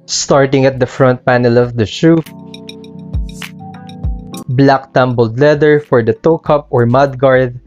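A man's voice: mid-range voice, steady tone, takes it slowly, long pauses, a little mellow. A man in his thirties presenting a scripted product review as a sneaker channel host. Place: small indoor room with sneaker shelves. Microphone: clip-on lapel mic. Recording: muffled lo-fi audio, music underneath. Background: background music.

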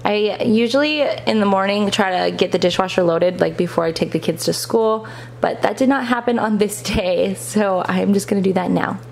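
A woman's voice: medium pitch, moderate pace, vocal fry. A woman in her thirties casually narrating her cleaning routine in a plain, practical voice-over. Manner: plain, practical step-by-step explaining. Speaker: cleaning vlogger.

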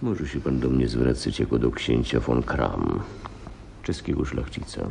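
A man acting an imperious, secretive king in a radio play, speaking formally and gravely with a gravelly rasp. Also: very deep voice, lively intonation, unhurried, darkish tone, a little hiss or hum, full character voice. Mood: grave, haughty. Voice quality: gravelly, raspy. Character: imperious, secretive male king posing as nobleman.

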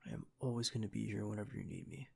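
Man acting out young male agent boyfriend, audio drama, earnest, devoted, gravelly, husky. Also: fairly low pitch, racing, dark tone, exaggerated voice. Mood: gentle, comforting. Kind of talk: ASMR boyfriend roleplay acting.

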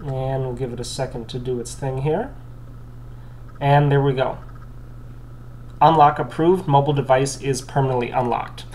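A young man hosting a tech video, calmly walking through a phone unlock in a small room, recorded on the camera's mic. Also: medium pitch, normal speed, long pauses, a little hiss or hum. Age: young adult. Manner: matter-of-fact, explanatory. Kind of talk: tech demonstration walkthrough.

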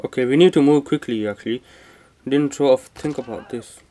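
A man's voice: mid-range voice, fairly quick, dark tone. A man giving casual, slangy let's play commentary as a gaming host, muttering reactions, with the microphone a little away from him. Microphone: microphone not close to the speaker.

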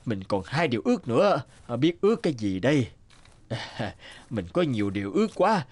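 A man's voice: medium pitch, big pitch swings, moderate pace, darkish tone. A man playing a greedy, flustered rich husband in a children's fairy-tale cartoon, muttering and exclaiming theatrically. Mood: dithering.

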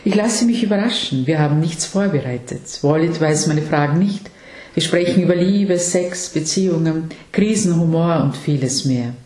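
A woman hosting an interview, asking questions in a measured, encouraging way. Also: sits low, dark tone. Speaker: talk show host. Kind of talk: interviewing, scripted intro. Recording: echoey room.

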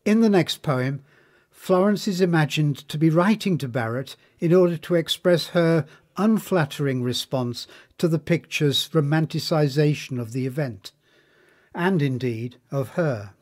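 A man narrating a scripted biography in a measured, formal manner. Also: a little high, a little mellow.

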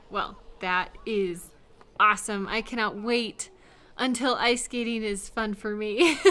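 Bright-voiced, nervous young woman, acted by a woman. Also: medium pitch. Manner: halting, self-talking, giggly and apologetic. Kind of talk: intimate audio roleplay acting.

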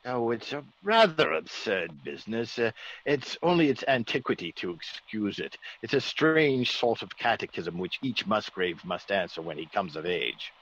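An actor playing a genteel, easygoing English gentleman with polite, old-fashioned exclamations, in a classic radio drama. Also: lowish voice, very animated, normal speed. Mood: genial, dismissive. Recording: dull old radio recording.

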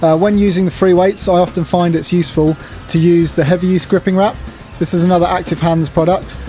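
This is a middle-aged man giving an instructional voice-over. His voice sits a little high for a man and has a very mellow, rounded tone. He explains things plainly and practically, with some music behind him. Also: level tone, a little fast. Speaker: presenter demonstrating gym exercises.

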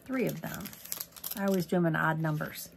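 A woman from Missouri demonstrating a card-making technique at her craft desk, chatty and rambling, with a hoarse voice as she loses it. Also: low-pitched, a little fast, slight background noise. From Missouri, USA. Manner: chatty, rambling, lots of ums and asides. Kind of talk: casual crafting tutorial.

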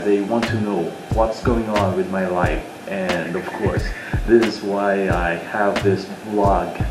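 Filipino man chatting in an echoey room. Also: fairly low pitch. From Philippines. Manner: rambling, many "uh" fillers. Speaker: vlogger. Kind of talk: casual vlog talk to camera. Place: small tiled bedroom. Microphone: camera mic at desk distance. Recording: echoey room with loud music.

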